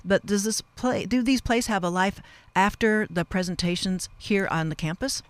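A middle-aged or older American man hosts a radio show with a fairly high voice for a man. His delivery rambles and halts, with folksy asides to the listeners. The sound is dry and close, as on a studio microphone. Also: normal speed, clean tone, dry recording. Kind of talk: live campus radio interview and sign-off.

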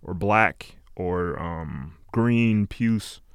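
Smooth, low-voiced young man. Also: lively intonation, no echo. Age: late 20s. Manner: halting, meandering, philosophical. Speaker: interview guest, music artist. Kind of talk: casual chat.

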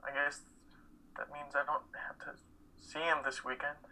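A man playing a withdrawn, troubled college student in a play reading, halting, terse and guarded. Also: a little high, flat delivery, bright, forceful tone, low-quality recording. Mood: stunned grief. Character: withdrawn male college student, grieving his estranged father. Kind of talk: dramatic reading of a stage play.

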